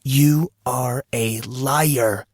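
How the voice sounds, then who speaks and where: An insistent, accusing inner voice of a teenage boy performed by a man. It gives commands slowly and deliberately, a little above the narrator's pitch, in dry audio drama sound. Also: steady tone, breathy edge, no room sound.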